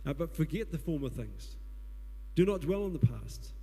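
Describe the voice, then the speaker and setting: A man with a New Zealand accent and a booming voice preaching a sermon, putting earnest questions to the congregation. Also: very animated, moderate pace, dark tone, husky. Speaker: guest preacher. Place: church hall. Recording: long hall reverb.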